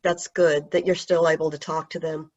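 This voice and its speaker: Husky woman reading a gentle teacher role. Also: low voice, level tone, moderate pace. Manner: gentle, reassuring. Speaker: teacher character in a staged play reading. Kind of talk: staged play reading over video call. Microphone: video call. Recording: husky voice on dull lo-fi video call audio.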